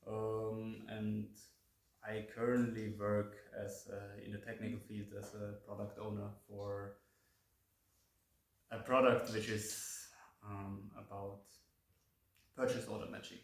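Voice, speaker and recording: Low, flat-voiced young man presenting. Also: slow pace, long pauses. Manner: hesitant, many fillers. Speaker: youth peace group presenter. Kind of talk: informal presentation. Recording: echoey room from far off.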